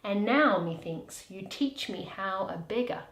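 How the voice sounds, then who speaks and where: A woman's voice: mid-range voice, lively intonation, pitched below the performer's own voice, lightly acted. A woman reading the part of a poised, clever lady disguised as a lawyer in formal Shakespearean verse. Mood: cool, offended. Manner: measured, formal, archaic verse delivery. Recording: roomy, echoey indoor recording.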